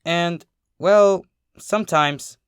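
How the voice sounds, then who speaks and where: A narrator voicing a brash, energetic young female pegasus pony, casual and excitable. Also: medium pitch, drawn-out words, clear, resonant tone, no echo, clean studio sound, voice pushed up. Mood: earnest.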